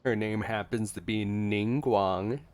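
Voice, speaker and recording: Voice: mid-range voice, steady tone, slow pace, a little mellow. A gameplay streamer giving casual, playful reaction commentary. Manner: playful, giggly, echoes words. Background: game music.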